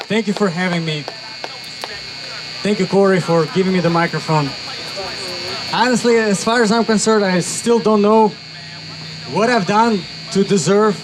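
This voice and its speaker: A young man with a Russian accent addresses an outdoor rally crowd, his voice pitched high and rising to shouts as he gets worked up. Noise hangs clearly behind him throughout the speech. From Uzbekistan. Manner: energetic, builds to shouts. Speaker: rally speaker. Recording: noisy outdoor crowd recording.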